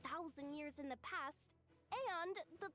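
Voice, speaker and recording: A woman voicing a small, excitable, childlike fairy companion in a video game, easily baffled and exclamatory. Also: sits high, measured pace, soft background music. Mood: flustered, confused.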